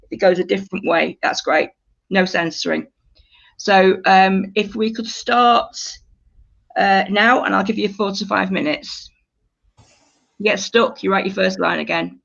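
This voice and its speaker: Woman running a writing class, gravelly fry in her voice, encouraging, repeats herself, muffled recording. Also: medium pitch, measured pace, a bit bright. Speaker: creative writing workshop leader. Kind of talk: informal spoken workshop instruction.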